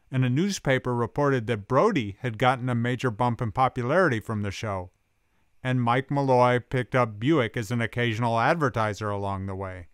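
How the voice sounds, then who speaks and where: A man reading scripted documentary narration in informal, conversational wording. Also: medium pitch. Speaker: narrator and presenter. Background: guitar music.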